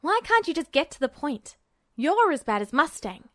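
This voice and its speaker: A woman's voice: high voice, very animated, normal speed, a bit bright. A woman voicing a stubborn, defensive young male soldier in a story, with a stiff, griping manner. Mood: irritated. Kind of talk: audiobook character dialogue.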